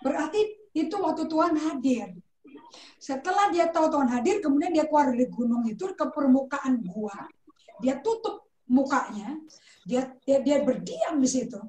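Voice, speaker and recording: High-voiced woman preaching informally. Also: darkish tone, reverberant, low-quality recording. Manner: conversational, mixes in English words, asks rhetorical questions. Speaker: pastor. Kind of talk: informal teaching sermon.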